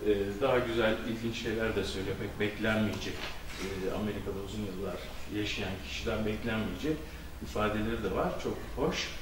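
A middle-aged man, a physician, giving a measured academic conference talk into a handheld mic, with frequent hesitation fillers. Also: lowish voice, noisy background. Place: conference hall. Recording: echoey hall, distant camera audio.

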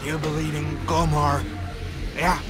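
A high-voiced, belligerent male space miner, drunk and fanatical, as an actor plays him. He rants slowly and slurs his words. It is a game cutscene with music behind. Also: flat delivery, lightly acted. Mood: drunken, accusing. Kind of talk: video game cutscene acting.

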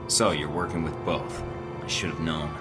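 An actor playing a cool, sardonic young field agent in a video game, with dry, clipped delivery. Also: male voice, rumbling low, fairly even intonation, normal speed, loud music behind the voice. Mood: confrontational. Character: cool sardonic male rookie secret agent.

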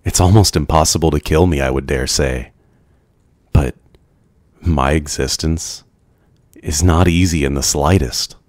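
A man's voice: extremely low pitch, unhurried, pauses often, darkish tone, dry recording. A man playing a lonely, courteous immortal vampire in an intimate ASMR roleplay, speaking formally and hesitantly. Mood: regretful, brooding.

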